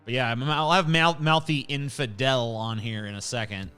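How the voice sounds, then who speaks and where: A man's voice: medium pitch, moderate pace, bright, forceful tone, smooth, soft background music. A man hosting a livestream, reading chat comments aloud in a rambling, profane, casual way. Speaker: livestream host.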